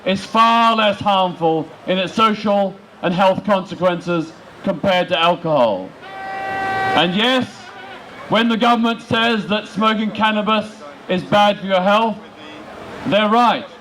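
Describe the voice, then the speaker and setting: High-pitched man giving a slow rally speech. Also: a bit bright, poor audio. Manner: emphatic, rhetorical, in short deliberate phrases. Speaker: political campaigner speaking at a rally. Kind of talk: outdoor protest speech. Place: large open-air city square rally. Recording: outdoor public address with background noise.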